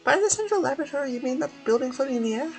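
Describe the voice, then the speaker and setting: A man voicing a small, naive, excitable female fairy companion who asks blunt, incredulous questions in a video game. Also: very high voice, racing, vocal fry, faint music behind, voice pushed up, exaggerated voice.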